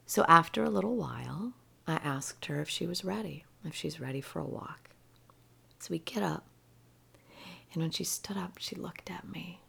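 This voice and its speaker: A woman gently telling a personal story about healing a rescued dog, her voice soft and often dropping to a near whisper. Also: on the low side, expressive, vocal fry, slightly rough. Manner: gentle and slow-paced. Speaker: animal healer.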